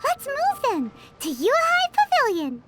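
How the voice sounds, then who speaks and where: A woman's voice: very high-pitched, wildly swooping pitch, measured pace, over loud music, exaggerated voice. A woman voicing a tiny, childlike companion sprite in a video game, perky, blunt and nosy. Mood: cheeky.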